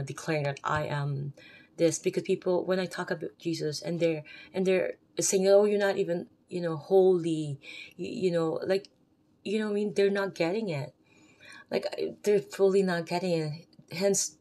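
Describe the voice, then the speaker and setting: Filipino lady talking about faith off the cuff, low voice, wanders off topic a lot. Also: darkish tone. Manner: rambling, off the cuff, chatty asides. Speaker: faith vlogger. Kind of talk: unscripted religious talk to camera.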